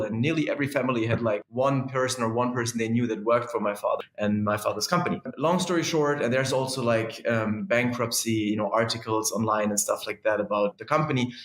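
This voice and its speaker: A man's voice: medium pitch, echoey room. A young man from Germany, speaking casually as an entrepreneur guest and often filling gaps with "essentially" and "um". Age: young adult. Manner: animated, piles on fillers like "essentially" and "um". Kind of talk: casual interview talk.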